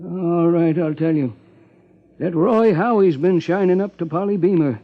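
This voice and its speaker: A man's voice: fairly high pitch, normal speed, close to an everyday voice. An actor playing a folksy, gossipy rural American lawman with a homespun drawl in a 1950s radio drama. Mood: sly, gossipy. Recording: muffled 1950s radio recording.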